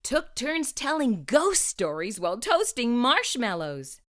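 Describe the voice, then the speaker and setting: A woman reading a children's picture book aloud as an expressive narrator. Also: on the high side, unhurried. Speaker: children's story reader.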